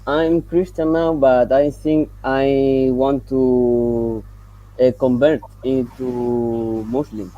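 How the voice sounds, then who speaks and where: A Spanish-speaking man from Colombia with a Colombian accent, calling in over video and halting as he searches for English words. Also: medium pitch, little pitch movement, lo-fi sound. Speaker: caller. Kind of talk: call-in discussion. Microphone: video call.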